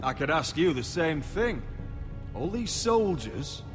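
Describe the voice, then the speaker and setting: An actor playing a guarded, resolute young nobleman in a fantasy video game, speaking in a firm, measured way. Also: fairly high pitch, sing-song. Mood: wary. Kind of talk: dramatic video game acting. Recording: reverberant hall under clear music. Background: background music.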